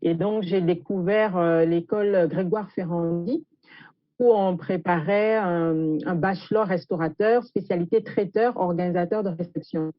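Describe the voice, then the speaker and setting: A woman from Gabon with a fairly low voice tells her own story as an interview guest. She speaks with drawn-out hesitations, and the recording is dry and somewhat muffled. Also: no echo. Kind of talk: autobiographical account in an interview. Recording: muffled lo-fi audio.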